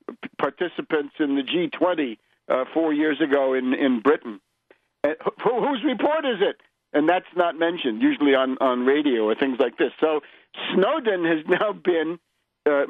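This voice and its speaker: A man with a somewhat high-pitched voice delivers political commentary as a radio host. He is emphatic and sardonic, pressing each point insistently. The sound is dry and a little dull, like lo-fi radio audio. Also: no echo. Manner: sardonic, scornful. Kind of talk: political commentary monologue, polemic.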